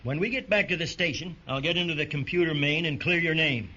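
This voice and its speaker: An actor playing a tense but fair army major who gives clipped, authoritative orders in a science fiction radio drama. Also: male voice, a little high, quick pace. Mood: apologetic, relieved. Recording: dull radio play recording under loud music.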